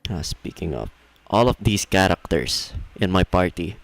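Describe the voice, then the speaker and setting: Low-voiced man streaming a game. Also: lively intonation, moderate pace, no echo. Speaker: game livestreamer. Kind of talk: casual playthrough commentary, thinking aloud. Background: faint game music.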